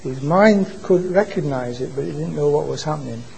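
A man gives an informal church sermon in a naturally quiet voice. His tone is mellow and a little higher than usual, and he often stops and restarts his words. Faint room ambience sits behind him. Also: expressive, creaky, a little hiss or hum, poor audio. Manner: halting, repeats and restarts words. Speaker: preacher. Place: church meeting. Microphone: microphone being adjusted.

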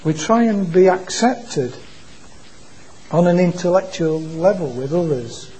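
A man preaching an informal sermon into a microphone at a church meeting, in a quiet voice that stalls and restarts on words. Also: high-pitched, slightly rough, rough recording. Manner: halting, repeats and restarts words. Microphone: microphone being adjusted.

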